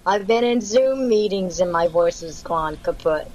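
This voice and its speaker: A woman's voice: on the low side, lively intonation, a little slow, a little mellow, dull audio. A woman guest on a video call, talking casually and rambling, with many fillers and repeated words. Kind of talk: casual interview talk.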